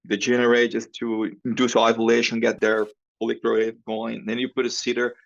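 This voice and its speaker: A man's voice: mid-range voice, monotone, brisk, vocal fry, dry recording, highs cut off. A man from Brazil with a Brazilian accent and non-native English phrasing, explaining his research as an interview guest. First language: Portuguese. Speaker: podcast guest, professor and researcher.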